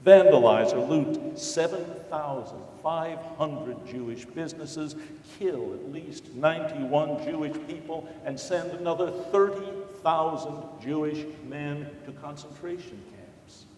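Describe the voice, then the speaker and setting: A man's voice: fairly high pitch, measured pace, few pauses, darkish tone, a little hiss or hum. Formal male narrator in echoey hall. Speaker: reader in a dramatic reading. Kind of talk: scripted historical narration. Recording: distant mic in echoey hall.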